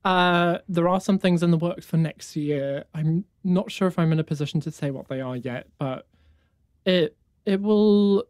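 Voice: mid-range voice, darkish tone, clean tone, no echo, studio quality. Young conductor, Afrikaans native, chatty. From New Zealand. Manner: enthusiastic, halting, many fillers. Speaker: conducting student, guest. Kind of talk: casual interview answers.

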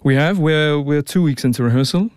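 A man's voice: a little high, brisk, darkish tone, smooth, dry recording, studio quality. A young man with a New Zealand accent, an opera tenor chatting as a radio guest, with lots of restarts and fillers. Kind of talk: relaxed radio interview.

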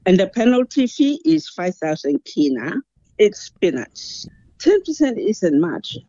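A speaker, giving interview remarks slowly and deliberately, pausing in the middle of sentences. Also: mid-range voice, sing-song, warm tone, no echo. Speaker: interviewed commentator. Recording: dull, muffled lo-fi recording.

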